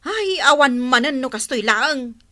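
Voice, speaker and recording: A woman's voice: high-pitched, animated, unhurried, bright, forceful tone, full character voice. A woman playing a nagging, exasperated wife and mother who scolds and complains in an Ilocano comedy radio drama.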